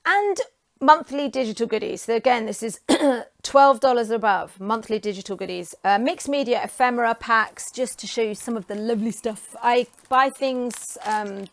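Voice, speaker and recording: Chatty hoarse woman in her forties. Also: on the high side, normal speed, dry recording. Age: 40s. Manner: chatty, enthusiastic, with frequent ums. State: voice getting hoarse. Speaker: creative coach and vlogger. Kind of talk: casual talk to camera.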